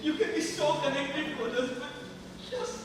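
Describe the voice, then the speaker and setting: Man acting a high-voiced anguished parent, echoey hall. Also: unhurried, noisy background. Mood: tender, strained. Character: anguished, weary parent at a child's hospital bedside. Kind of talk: amateur stage play acting. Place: large hall stage. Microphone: distant microphone. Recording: echoey hall from far off, music.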